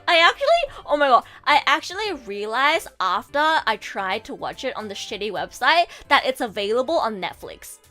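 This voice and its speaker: Woman livestreamer, casual chat at a home desk mic, slightly sore throat; bright, bouncy, high voice. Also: normal speed, hardly any pauses, faint music behind. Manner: chatty, animated, casually swearing, addresses chat. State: sore throat, coming down with a cold. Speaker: VTuber streamer and voice actor.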